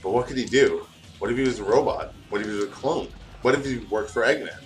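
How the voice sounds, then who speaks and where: A man's voice: medium pitch, lively intonation, quick pace, soft background music, low-quality recording. A man with a casual, profane, self-deprecating way of talking, chatting and reading a story aloud during a game playthrough. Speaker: guest reading fan fiction aloud.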